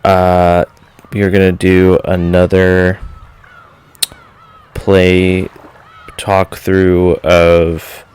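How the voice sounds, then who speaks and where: A man's voice: low voice, completely flat delivery, very slow, pauses often, darkish tone, clear, resonant tone. A man hosting a visual novel read-aloud, moving between informal chat and story narration.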